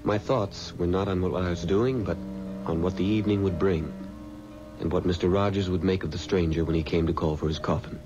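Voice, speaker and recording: An actor playing a superstitious, fearful young undertaker's assistant in a radio mystery, earnest, hesitant and pleading. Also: male voice, deep voice, steady tone, a little fast, music in the background, lightly acted. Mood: uneasy, earnest. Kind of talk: 1970s radio mystery acting. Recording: muffled, noisy old radio recording.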